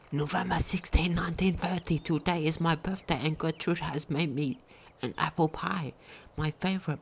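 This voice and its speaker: A woman's voice: lowish voice, a little hiss or hum. A woman reading a game diary aloud as a video commentator, sometimes stumbling over her words.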